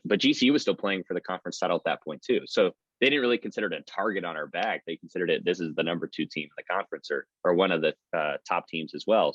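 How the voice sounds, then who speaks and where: Male sports announcer in podcast interview mode: lowish voice, conversational analysis, slightly muffled lo-fi sound. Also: dry recording. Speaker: sports radio play-by-play announcer. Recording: slightly dull lo-fi audio.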